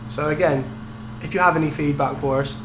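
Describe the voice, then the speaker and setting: Young guy podcasting about games, casual, slightly high voice, roomy echo. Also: fairly quick. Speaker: podcast co-host. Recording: roomy echo, steady background noise and hum.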